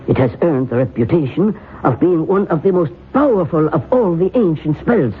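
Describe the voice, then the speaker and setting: A man's voice: a little high, expressive, moderate pace, close to an everyday voice. An actor playing a scholarly male professor of occult lore, grave and a little hesitant, in an old radio drama. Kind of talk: 1970s radio drama acting. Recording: tinny lo-fi old radio broadcast with faint hiss.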